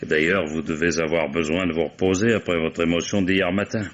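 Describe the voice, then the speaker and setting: Deep-voiced police commissioner, played by a man: courteous, hesitant, insinuating, old French radio-mystery style. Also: steady tone, fairly quick, muffled recording, natural voice. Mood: politely probing. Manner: polite, hesitant, insinuating questioning. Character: male police commissioner, politely probing, wily and dogged.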